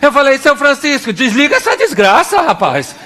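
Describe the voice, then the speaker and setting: A young Brazilian man with a Brazilian Portuguese accent preaching a sermon as pastor, animated, acting out little scenes and prayers in different voices. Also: very high voice, moderate pace.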